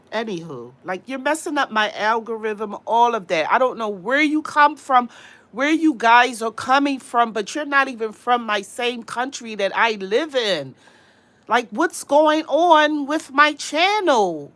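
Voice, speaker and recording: A woman's voice: on the high side, lively intonation, a little slow, a bit bright. A woman with a cold and a congested voice, rambling in casual chat to her livestream viewers. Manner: rambling, talks straight to viewers. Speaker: livestream host.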